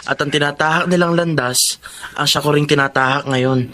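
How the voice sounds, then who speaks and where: An actor with a Filipino accent playing a remorseful, earnest reformed addict pleading in a radio drama. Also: male voice, fairly high pitch, fairly even intonation, normal speed, sharp tone.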